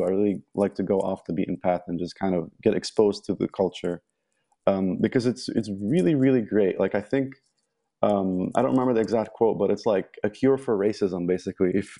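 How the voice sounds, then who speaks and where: Young egyptian-born guy rambling on a podcast, lowish mellow voice, lots of ums. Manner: rambling, many ums and restarts. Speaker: guest. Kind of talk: casual interview talk.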